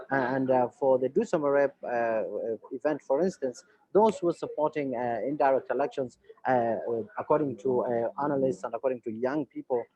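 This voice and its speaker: A man's voice: mid-range voice, warm tone, no room sound. Hesitant Somali man on a video call. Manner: hesitant, many "uh" fillers, earnest. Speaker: journalist, webinar participant. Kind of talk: panel discussion remarks. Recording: dull lo-fi video call audio.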